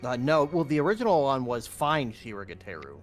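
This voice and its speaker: A man's voice: mid-range voice, sing-song, a little fast, faint music behind. A man talking casually as a player in a tabletop roleplaying game.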